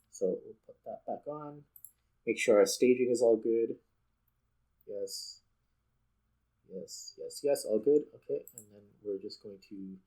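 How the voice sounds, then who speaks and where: Male gaming host, slightly low and raspy, casual play-along commentary, thinks out loud as he goes. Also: poor audio. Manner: thinks aloud step by step. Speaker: host playing a space flight game.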